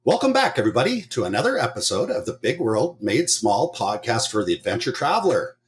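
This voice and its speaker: A man's voice: mid-range voice, very animated, brisk, faint music behind. A man hosting an adventure travel podcast, speaking in an upbeat, enthusiastic way. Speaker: podcast host. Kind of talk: scripted intro, then casual interview.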